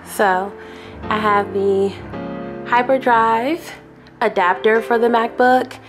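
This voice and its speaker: A young woman vlogging an unboxing in a small living room, chatty and excitable, a little sniffly from a cold. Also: a little high, slow, music in the background. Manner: chatty and excitable, addresses viewers as y'all. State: sniffly, getting over a cold. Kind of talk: casual unboxing vlog.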